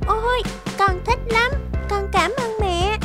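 A tiny, sweet flower girl from a children's cartoon. Her voice is very high and she speaks slowly. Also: music in the background. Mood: delighted. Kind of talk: children's fairy-tale cartoon dub.

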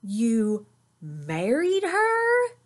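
A woman's voice: a little high, huge pitch swings, very slow, pitched above the performer's own voice, exaggerated voice. A woman voicing a squeamish, easily astonished young boy bear cub from a children's storybook, in an exclaiming, incredulous manner. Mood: astonished disgust. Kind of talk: children's picture-book character reading.

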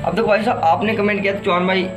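Young man, high pitch, casual vlog talk, insistent appeals, roomy echo. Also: fairly even intonation, fast. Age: young adult. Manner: repetitive, pleading appeals to viewers. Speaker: reaction video host. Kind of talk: casual vlog commentary to camera. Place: small indoor room. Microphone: phone at arm's length. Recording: echoey room with loud music behind. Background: music.

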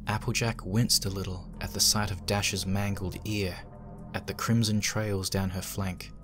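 A man with a low voice narrates a horror story. He reads it as scripted dramatic fiction, with music playing underneath. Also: level tone, unhurried.

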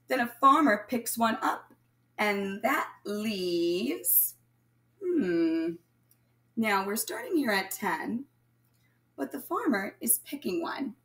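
A woman reading aloud and counting as a primary teacher, addressing young children in an instructional way and pausing for answers. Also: medium pitch, fairly bright tone. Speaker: primary school math teacher. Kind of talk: children's read-aloud lesson, counting along.

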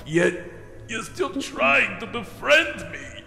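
Volatile skeleton monster for a comic dub: snarling, menacing, high and swooping pitch. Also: male voice, very animated, slow pace, gravelly, bright, forceful tone, exaggerated voice. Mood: anguished. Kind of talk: dramatic comic dub acting. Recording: echoey hall reverb, music behind.

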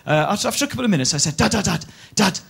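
A man's voice: high voice, lively intonation, very fast, vocal fry. A young Welsh man whose first language is Welsh, telling a stand-up story on a theatre stage into a handheld mic, acting out voices, with many "uh"s and "right?"s. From Wales. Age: young adult. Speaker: stand-up comedian. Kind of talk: stand-up comedy routine. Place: large theatre stage before a live audience. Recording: TV studio audience recording with loud crowd and laughter. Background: audience laughter.

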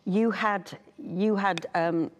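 A woman's voice: medium pitch, fairly even intonation, takes it slowly. A middle-aged woman from Scotland hosting a talk show with casual, chatty interviewing. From Aberdeen, Scotland. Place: TV studio, seated at a table.

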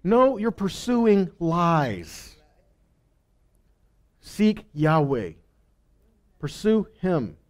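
A man's voice: high voice, big pitch swings, drawn-out words, long pauses. A middle-aged man preaching in a conversational teaching style, stressing key words and posing rhetorical questions, on a headset mic. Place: stage in a congregation hall.

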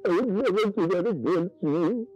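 Actor as a guilty husband, mid-pitched, stammering pleas, near tears. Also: male voice, measured pace, creaky, slightly rough. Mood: pleading. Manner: pleading, stammering, tearful. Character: remorseful husband begging forgiveness.

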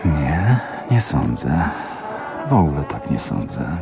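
A smug young lover, slow-talking. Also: male voice, extremely low pitch, very animated, vocal fry, heavily acted. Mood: cynical. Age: young adult. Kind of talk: radio drama acting. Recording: music behind the dialogue.

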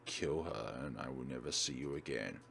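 A man's voice: very deep voice, moderate pace, gravelly, a bit bright, slight background noise, voice pushed down, exaggerated voice. A man voicing a cold, curt, menacing man who gives orders in a visual novel game. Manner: curt, commanding. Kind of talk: reading visual novel dialogue.

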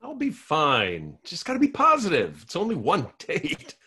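A man's voice: a little high, huge pitch swings, measured pace, pushed and bright, slightly rough. A man reading a hopeless romantic lead at a table read, with a sheepish, self-pitying comic delivery.

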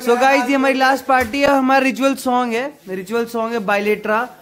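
A young man with a very high voice talks excitedly to his viewers. A crowd of friends can be heard clearly in the background. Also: fairly quick. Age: young adult. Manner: excited, addresses viewers as guys. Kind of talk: casual vlog chat.

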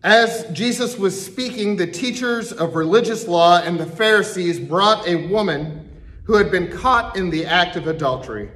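Deliberate middle-aged pastor reading scripture. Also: male voice, high-pitched, expressive, a bit bright. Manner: deliberate, slow reading with emphatic pauses. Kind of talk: reading scripture aloud in church. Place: church sanctuary, indoors.

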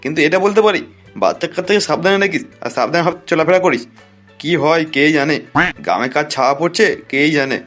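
A bossy, gossipy village big brother in a cartoon, acted by a man. He talks fast in a highish voice, crude and scolding, with music behind. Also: animated, fairly bright tone. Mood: warning. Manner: crude, scolding, explaining at length. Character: gossipy, bossy village elder-brother type, easily exasperated. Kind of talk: cartoon voice acting.

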